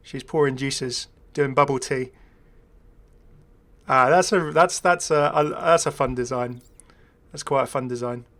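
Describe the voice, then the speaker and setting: A man's voice: mid-range voice, a little slow, a bit bright. A man hosting a gaming video, giving casual, rambling, chatty commentary.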